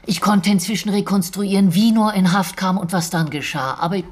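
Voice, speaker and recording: An actress in a German radio drama playing a determined intelligence officer, husky, brisk and businesslike as she presses her point. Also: mid-range voice, close to an everyday voice. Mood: urgent, insistent. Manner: insistent, businesslike.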